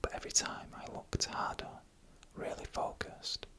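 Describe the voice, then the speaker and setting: Whispered ASMR storyteller, entirely unvoiced. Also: moderate pace. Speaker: narrator.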